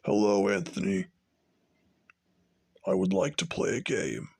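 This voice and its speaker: A man voicing a sinister, sadistic killer taunting a captive in a slow, menacing, gravelly horror monologue. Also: fairly low pitch, very animated, a little mellow, full character voice. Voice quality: gravelly, husky, dropping into whispers.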